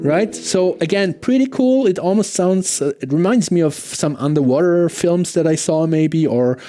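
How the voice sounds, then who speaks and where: A man's voice: a little high, normal speed, over music. A man giving a casual, conversational product review as a gear demonstrator, enthusiastic and often checking in with the listener.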